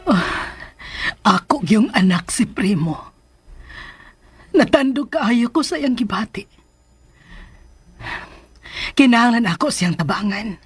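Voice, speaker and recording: A woman playing a worried, meddling mother with a husky voice in a radio drama. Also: on the high side, exaggerated voice. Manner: questioning, exclamatory.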